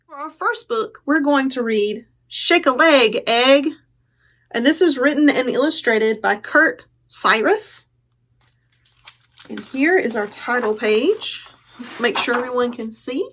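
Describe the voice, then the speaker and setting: High-pitched woman hosting a children's storytime. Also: lively intonation, slow, fairly bright tone. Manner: addresses young children directly with simple, encouraging invitations. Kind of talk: children's storytime presenting and read-aloud.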